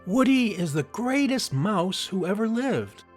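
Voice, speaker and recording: A streetwise wild mouse, cocky and brusque, drawling teasing lines in an audio drama. The pitch moves around a lot, over clearly audible music. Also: male voice, big pitch swings, measured pace. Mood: bossy, teasing.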